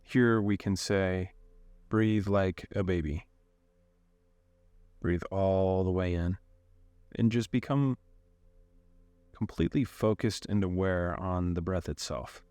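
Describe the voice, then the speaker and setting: A man leading a guided meditation in a calm, unhurried way, giving gentle instructions with long pauses. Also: sits low, smooth, no echo.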